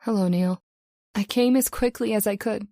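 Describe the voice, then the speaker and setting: A concerned, doubting woman, played by an actress in an old-time radio play. She is reserved and hesitant, with a creaky edge to her voice. The sound is dry and close. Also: medium pitch, normal speed, dark tone, no room sound, close to an everyday voice.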